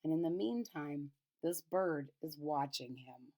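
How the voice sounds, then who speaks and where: A woman reading a children's storybook aloud, pausing to retell and explain it simply for young listeners. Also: deep voice, expressive, mellow. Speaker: storybook reader. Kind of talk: children's picture-book read-aloud with retelling in plain words.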